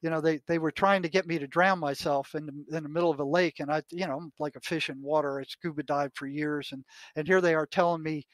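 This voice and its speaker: Higher-pitched older American man, dry sound. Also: fairly even intonation, quick pace, no room sound. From United States. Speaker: retired psychotherapist, podcast guest. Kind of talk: conversational anecdotes and reading aloud from written notes.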